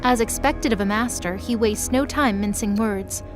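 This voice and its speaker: High-pitched woman narrating mythology drama. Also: moderate pace, soft background music.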